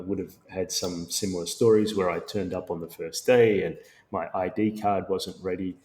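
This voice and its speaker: A middle-aged man with an Australian accent, born in Sri Lanka, giving measured, explanatory answers as a co-founder guest in a business interview. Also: deep voice. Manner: measured, explanatory, with occasional restarts.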